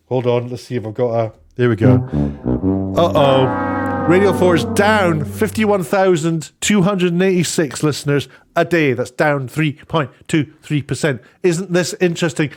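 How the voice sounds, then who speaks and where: A man giving informal, opinionated commentary in an emphatic, halting, sardonic way. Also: a little high, a little mellow, soft background music. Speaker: online commentator.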